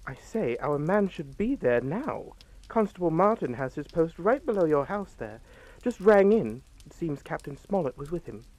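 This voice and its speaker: An actor playing a courteous, unhurried police desk sergeant in a suspense drama, official and deferential on the telephone. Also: male voice, on the high side, very animated, darkish tone, dry recording, low-quality recording. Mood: official, puzzled. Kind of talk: radio suspense drama acting.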